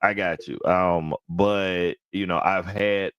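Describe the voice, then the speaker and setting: A man hosting a podcast, speaking with careful, articulate diction and playful animated asides. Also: deep voice, takes it slowly, clean tone, no echo. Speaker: podcast host. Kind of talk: casual interview chat.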